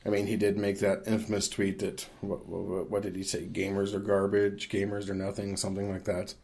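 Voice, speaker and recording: A man co-hosting a podcast, giving his opinions casually in a measured, matter-of-fact way. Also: fairly low pitch, monotone, darkish tone. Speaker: podcast co-host.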